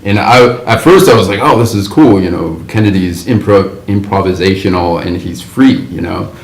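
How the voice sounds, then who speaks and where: A man's voice: sits low, normal speed. A man joining a writers' group as a guest, curious and hedging, with many fillers. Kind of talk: casual group discussion. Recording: roomy echo with faint noise.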